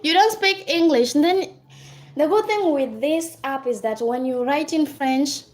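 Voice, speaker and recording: High-voiced Kenyan woman chatting on a livestream. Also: a little slow. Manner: chatty, reads and answers viewer comments, thanks viewers by name. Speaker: livestream host. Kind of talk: casual livestream chat.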